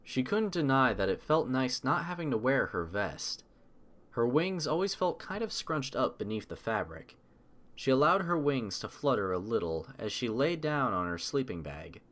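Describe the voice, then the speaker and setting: A man's voice: mid-range voice, very animated, normal speed, fairly bright tone. A man reading scripted audiobook narration as the storyteller.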